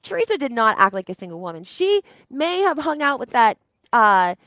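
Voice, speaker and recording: Higher-voiced woman recapping in an echoey room. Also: big pitch swings, moderate pace, fairly bright tone. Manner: conversational and emphatic, with rhetorical questions and repetition for emphasis. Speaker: reality TV recap commentator. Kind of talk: casual opinionated commentary. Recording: roomy echo, slightly dull lo-fi sound.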